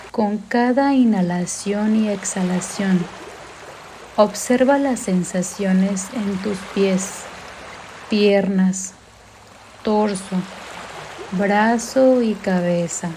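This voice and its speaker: A woman leads a guided meditation with a soft, mellow voice. She speaks slowly and gently, calming the listener with each instruction. Sea water sounds run clearly behind her. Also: mid-range voice, a little hiss or hum. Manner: calm, gentle, soothing, unhurried instruction. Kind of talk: guided mindfulness meditation narration. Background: sea sounds and background music.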